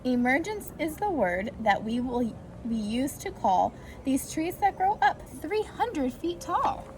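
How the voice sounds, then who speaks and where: Woman doing storytime for little kids, highish voice, sing-song rhymes, pretty noisy home-style recording. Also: sing-song, slow pace, a little mellow. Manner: sing-song rhyming delivery addressed to young children. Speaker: volunteer reading a picture book to preschoolers. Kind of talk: read-aloud children's storytime with brief asides. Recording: clearly noisy amateur recording.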